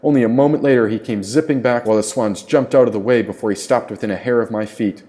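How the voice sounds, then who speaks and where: A man's voice: mid-range voice, fast talker, dark tone. A man reading his own first-person story as dramatic audiobook narration.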